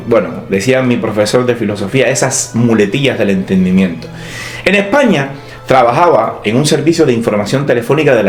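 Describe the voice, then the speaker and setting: A man from Cuba speaking with a Cuban Spanish accent worn smooth by years in Madrid, his pitch rising and falling in a lively, animated way. He talks through a reflective, ironic essay in a roomy, echoing space with soft music playing underneath. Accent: Cuban Spanish, softened by years in Madrid. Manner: ironic, lively intonation with wry asides. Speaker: podcast host and writer.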